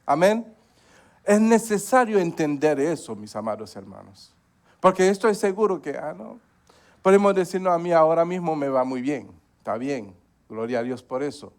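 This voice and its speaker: A man preaching a sermon, punctuating his points with amens, rising in emphasis and then dropping low. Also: expressive, moderate pace. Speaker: pastor.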